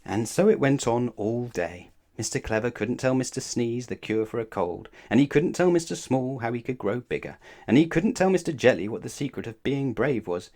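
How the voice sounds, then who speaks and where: A man reads a children's story aloud in an expressive way. His medium-pitched voice moves up and down a great deal, as if speaking straight to young listeners. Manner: expressive, addresses listeners with questions. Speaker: storybook narrator. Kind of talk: children's read-aloud narration.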